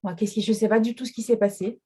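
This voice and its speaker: A woman's voice: on the high side, steady tone, fast talker, dark tone, slightly rough. A woman radio interviewer who mostly gives short prompts and reactions in casual chat.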